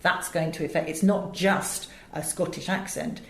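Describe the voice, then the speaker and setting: An Englishwoman speaks in a slightly low voice in a roomy, echoing space. She explains her craft as a director in articulate, conversational interview talk. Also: fairly even intonation, moderate pace. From England. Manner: articulate, illustrates points with acted examples. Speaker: voice director and audiobook producer. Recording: roomy, echoey sound.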